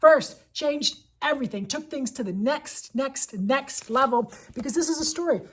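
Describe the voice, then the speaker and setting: A woman's voice: high-pitched, animated, moderate pace, slight background noise. A woman who teaches elementary school, giving casual, enthusiastic and emphatic book recommendations, repeating phrases for effect. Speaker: elementary school teacher reviewing picture books.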